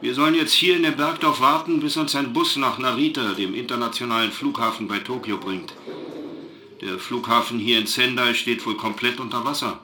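Actor as a sharp-voiced, earnest male eyewitness engineer. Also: medium pitch, lightly acted. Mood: anxious, controlled. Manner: earnest, halting, precise recounting. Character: shaken but factual German engineer, male eyewitness by phone. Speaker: eyewitness interviewee. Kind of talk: radio drama news interview. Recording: noisy live news link.

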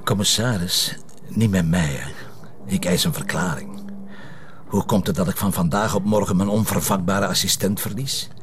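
A man's voice: sits low, moderate pace, a bit bright, a little hiss or hum. A man playing a stubborn, sarcastic police detective in a crime drama, with a Flemish Belgian accent and a confrontational, cocky manner. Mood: indignant. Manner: sardonic, confrontational. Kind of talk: naturalistic TV crime drama acting.

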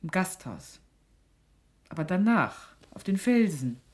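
A woman's voice: lowish voice, big pitch swings, slow pace. Stern, sardonic, suspicious mother. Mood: sardonic, insistent. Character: suspicious, sharp-tongued middle-aged mother of a teenager. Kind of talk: radio play dialogue.

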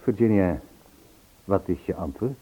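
A man's voice: deep voice, a little slow, a little hiss or hum. An actor playing an earnest, lovesick man searching for a woman in an old Dutch radio play. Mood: earnest, pleading. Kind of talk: Dutch radio play, acting and first-person narration. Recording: tinny phone-like old radio recording.

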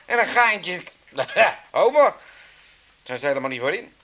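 A man's voice: high-pitched, big pitch swings, a little slow, bright, forceful tone, exaggerated voice. A man playing a cheeky, self-pitying elderly father with an Amsterdam accent in a comedy radio play, rambling and stammering in a folksy, blustering way. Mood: gleefully mischievous. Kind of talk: 1980s Dutch comedy radio play acting.

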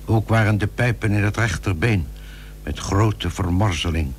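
A man narrating an old radio drama from a script in a formal, grave, measured manner. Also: lowish voice. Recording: old radio recording with faint hum.

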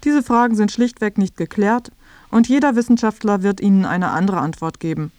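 Female feature narrator, mid pitch, explanatory scripted read, very dry studio sound. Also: fairly quick, dark tone, dry recording.

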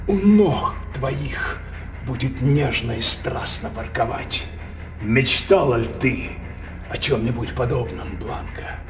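An actor playing a domineering, middle-aged noble father in a theatrical radio drama, declaiming grandly with slow dramatic pauses. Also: mid-range voice, slight background noise, lightly acted. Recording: roomy, distant stage sound with music.

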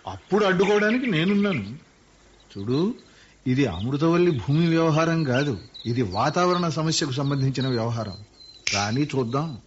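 A man voicing a thoughtful landowner who speaks earnestly about the land. His voice sits a little high. It comes through a muffled old radio recording with faint hiss. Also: expressive, moderate pace, close to an everyday voice. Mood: reflective. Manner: earnest, reflective. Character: Raghava, thoughtful middle-aged man fond of green land. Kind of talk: radio play acting.

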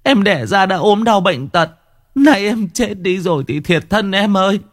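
A man's voice: high-pitched, expressive, a little slow, no room sound, pitched above the performer's own voice. A man voicing an older woman who wails and sobs in grief for her dead younger brother. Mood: wailing grief. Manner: keening, sobbing lament.

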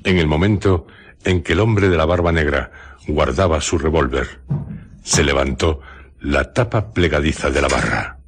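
A man narrating a scripted radio drama in a measured, dramatic way. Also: extremely low pitch, slightly rough.

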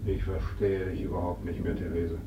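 A man playing a sober, persuasive peasant uncle in a classic radio play, speaking in a measured, reasoning way. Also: low-pitched, level tone, lightly acted. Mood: earnest, persuading. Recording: dull, noisy, echoey old recording, distant voice.

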